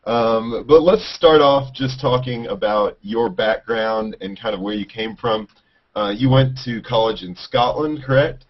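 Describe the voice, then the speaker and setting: A man's voice: medium pitch, a little slow, muffled recording. A man hosting a video call interview, asking casual questions with many fillers. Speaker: host.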